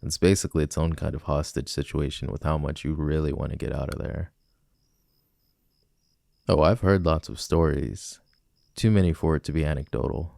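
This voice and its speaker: A smug, possessive villain, acted by a man, wooing in a romantic ASMR roleplay. His voice is extremely deep, with a heavy gravelly fry, and is recorded very dry and close. He talks in a teasing, intimate, smooth-talking way. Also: fairly even intonation, normal speed, dark tone, no room sound. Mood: defensive, pleading. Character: flirtatious rejected male villain wooing a civilian, smug, possessive.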